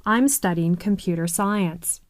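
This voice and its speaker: A woman reading scripted English textbook dialogues deliberately, spelling out words letter by letter. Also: on the low side, no echo, clean studio sound, natural voice. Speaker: voice actor reading English listening exercises.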